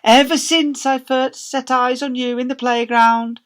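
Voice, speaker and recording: A man's voice: very high-pitched, steady tone, unhurried, a bit bright, smooth, pitched above the performer's own voice. A man voicing a lovestruck, soppy male English teacher in a melodramatic, gushing storybook manner. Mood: earnest. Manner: melodramatic, overwrought declarations.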